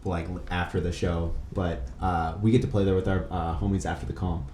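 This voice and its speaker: A man hosting a podcast, chatting casually in a halting, slangy way. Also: sits low, little pitch movement, darkish tone. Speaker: podcast host. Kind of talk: casual chat. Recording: roomy, echoey room with noise.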